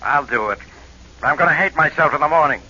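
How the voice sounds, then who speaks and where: An actor playing a grumbling, reluctant police inspector in a 1940s radio drama, sarcastic and grouchy. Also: male voice, a little high, fairly quick, lightly acted. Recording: muffled, noisy old radio recording.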